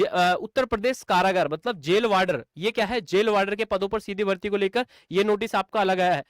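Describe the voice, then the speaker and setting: A man's voice: high voice, a bit bright, no room sound. A man teaching in an explainer video, repeatedly asking and answering his own questions and calling viewers friends. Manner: repetitive, asks and answers own rhetorical questions; addresses viewers as friends and brother. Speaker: exam coaching teacher.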